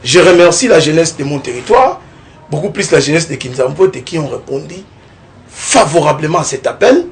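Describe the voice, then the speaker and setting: A young man from the Kongo Central region of DR Congo, a political candidate giving a TV interview, talking in a lively way and often tagging his points with a rising question. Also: fairly high pitch, moderate pace, slight background noise. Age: young adult. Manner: animated, keeps adding "n'est-ce pas?", rising tone on points.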